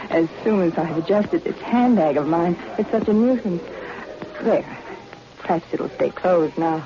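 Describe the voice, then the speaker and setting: A woman's voice: fairly low pitch, measured pace, dull audio, close to an everyday voice. A woman playing a seductive, scheming spy, coy and gushing, in a 1940s radio adventure. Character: seductive, scheming female spy, flattering and falsely sweet. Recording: band-limited old radio recording.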